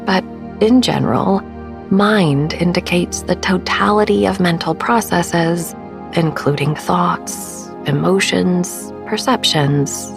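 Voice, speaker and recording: A woman narrating a guided sleep meditation as host, soft and breathy, soothing and unhurried, often trailing into a whisper. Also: on the low side. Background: soft background music.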